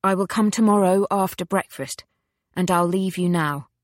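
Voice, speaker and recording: A courteous, earnest English gentleman, played by a female narrator in an audiobook. He speaks smoothly and politely. The sound is dry and close. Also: lowish voice, moderate pace, dry recording, close to an everyday voice. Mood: quick, polite.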